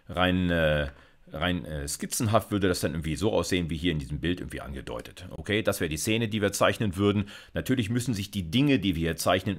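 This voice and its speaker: A man, explaining like a university lecturer in an informal, conversational way, often checking in with short tags. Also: sits low. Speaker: university computer science lecturer.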